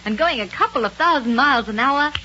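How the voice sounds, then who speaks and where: Bright, high-voiced spirited woman lieutenant, old radio. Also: animated, fast talker. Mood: keen. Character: spirited, plucky young woman lieutenant in space adventure. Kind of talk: 1930s radio serial acting. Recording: dull, hissy 1930s radio recording.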